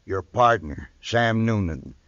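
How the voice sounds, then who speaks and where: An actor playing a cold, threatening schemer with terse delivery in an old-time radio Western. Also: male voice, on the low side, expressive, takes it slowly, a bit bright, no echo, full character voice. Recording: dull vintage radio recording.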